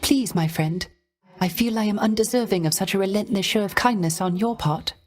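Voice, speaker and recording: A male actor voicing a gentle, melancholy court musician in a video game, with soft, genteel manners and formal, archaic, theatrical phrasing. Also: high voice, brisk. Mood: warm gratitude. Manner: soft, genteel, wistful, ornately polite.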